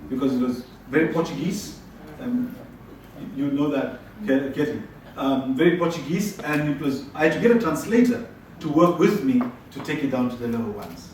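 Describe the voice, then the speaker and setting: A man's voice: mid-range voice, sing-song, moderate pace, a little mellow. A man from South Africa gives a talk at a conference, chatting his way through it and often restarting his sentences. He is picked up from far off in a very echoey room, with a faint hiss underneath. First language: Tamil. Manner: conversational, frequent restarts and repeated words. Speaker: conference presenter. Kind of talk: presentation with audience questions.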